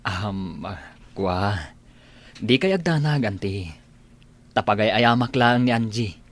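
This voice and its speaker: A man's voice: lowish voice, expressive, takes it slowly. A man acting an easygoing, evasive young nephew in a radio drama, with an Ilocano Filipino accent. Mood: sheepish, evasive.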